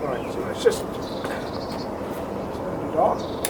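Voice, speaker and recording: A man giving unscripted, matter-of-fact technical commentary as an amateur experimenter demonstrates equipment. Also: slow. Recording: noisy location sound, vehicle-like background rumble.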